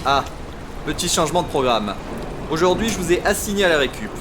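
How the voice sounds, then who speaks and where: A man playing a brisk, bossy crew supervisor in an audio drama. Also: fairly high pitch, animated, noisy background, close to an everyday voice. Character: brisk male work crew boss. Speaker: work supervisor.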